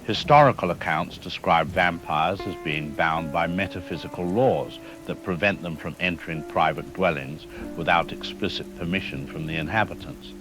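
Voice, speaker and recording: Actor as very deep-voiced scholarly male historian. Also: music in the background, natural voice. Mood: grave, informative. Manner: formal, measured, scholarly. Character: grave male academic historian expert on vampire folklore. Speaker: expert interview guest. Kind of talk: scripted radio drama interview, lecturing.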